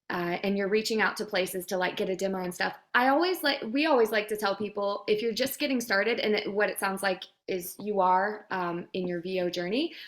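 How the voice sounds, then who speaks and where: A woman's voice: medium pitch, fairly bright tone. Woman coach giving informal, encouraging advice. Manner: informal, validating, conversational. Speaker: guest coach, voice demo producer.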